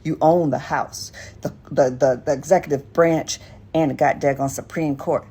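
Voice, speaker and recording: A woman's voice: low voice, steady tone, normal speed, mellow, slightly rough. An American woman on a casual political rant, blunt, sardonic and profane, in a noisy clip recording.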